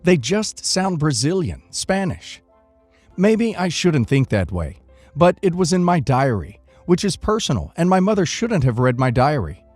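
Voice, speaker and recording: A man narrating first-person online stories from a script in an expressive reading manner. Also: on the high side, measured pace, no echo, faint music behind.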